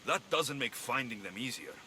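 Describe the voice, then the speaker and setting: A man's voice: medium pitch, sing-song, normal speed, bright, forceful tone, slight background noise. A man playing a tough, sardonic mercenary adventurer in a video game, with blunt delivery. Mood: wry. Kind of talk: video game cutscene acting.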